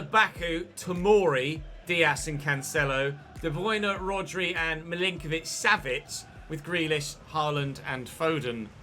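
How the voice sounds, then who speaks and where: Bright, high-voiced gaming commentator. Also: male voice. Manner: chatty, bursts into shouted excitement at goals. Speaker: gaming channel host. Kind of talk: football video game commentary.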